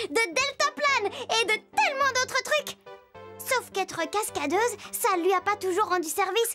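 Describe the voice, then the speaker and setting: A woman voicing a spirited young girl who tells stories in a French children's cartoon, chatty and playful. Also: very high voice. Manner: animated, chatty, addressing the listener directly. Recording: children's music behind the voice.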